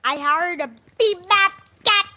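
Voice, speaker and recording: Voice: very high voice, a little slow, pushed and bright. A gamer chatting casually with slangy callouts to teammates during play. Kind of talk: casual chat while gaming. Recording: very noisy game-chat mic.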